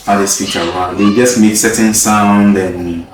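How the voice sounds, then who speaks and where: Deep-voiced man in an echoey room. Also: steady tone, unhurried, distant mic, a little hiss or hum. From Ghana. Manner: repeats words, earnest and emphatic. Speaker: interview guest. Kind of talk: casual conversation.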